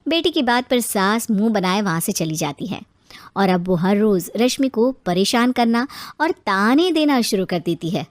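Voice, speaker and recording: A woman's voice: medium pitch, lively intonation, normal speed, dry recording, clean studio sound. A woman narrator reading a scripted moral family story.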